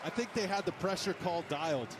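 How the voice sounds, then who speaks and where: A male colour analyst with a slightly high voice breaks down football plays for a broadcast. He explains things in coaching jargon, with clear game noise behind him. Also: brisk. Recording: noisy game broadcast audio.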